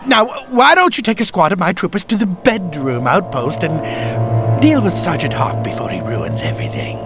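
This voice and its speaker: Crazy cartoon toy general bad guy, super high and bombastic, loud music under it. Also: huge pitch swings, moderate pace, heavily acted. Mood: wheedling. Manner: bombastic, theatrical, childishly demanding. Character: megalomaniac male toy general, petulant, ranting villain. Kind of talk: exaggerated cartoon villain acting.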